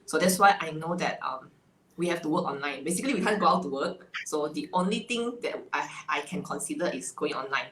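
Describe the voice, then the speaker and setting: A woman's voice: low-pitched, lively intonation, brisk, a bit bright. A woman from Malaysia with a Malaysian English accent, chatting as an interview guest and laughing often between phrases. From Malaysia (Sarawak). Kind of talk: casual interview chat. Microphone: video call. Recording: echoey room, faint ambient noise.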